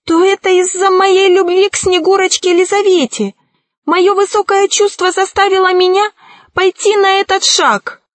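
A woman's voice: high voice, lively intonation, measured pace, a bit bright, no room sound, pitched above the performer's own voice. A woman voicing a cowardly, pompous male sorcerer, plaintive and trembling, in a children's storybook. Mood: frightened. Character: whiny, cowardly, easily offended male sorcerer.

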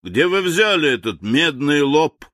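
A man's voice: medium pitch, sing-song, measured pace, sharp tone, no room sound, studio quality. A man voicing a commanding, hot-tempered general with a curt, imperious bark, in an audiobook story. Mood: furious.

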